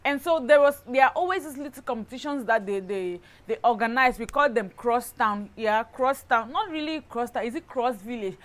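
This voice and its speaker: Cameroonian lady youtuber chatting to her viewers, highish voice, animated storytelling, really emphatic. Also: normal speed, few pauses. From Cameroon, southwest region. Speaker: lifestyle YouTuber, host. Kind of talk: casual story-time vlog chat.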